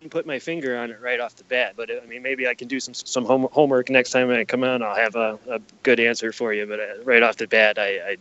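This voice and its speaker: A man's voice: mid-range voice, fairly even intonation, fast, few pauses, pushed and bright. Emphatic man, dull lo-fi recording. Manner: emphatic, repeats key phrases, poses rhetorical questions. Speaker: podcast guest. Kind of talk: conspiracy-minded explanatory interview talk. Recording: dull, lo-fi sound.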